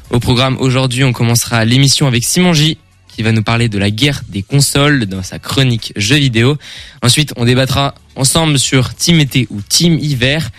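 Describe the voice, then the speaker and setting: A young man hosting a student radio show around a studio table, chatty and relaxed with small restarts. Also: mid-range voice, dry recording. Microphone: studio mic.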